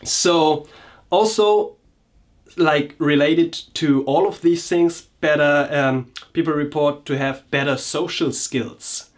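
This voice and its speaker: A man's voice: a little high, steady tone, slow pace. A man talking through his personal experience in a reflective vlog, hesitant and often restarting his sentences. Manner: hesitant, searching for words, frequent restarts. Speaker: vlogger and coach.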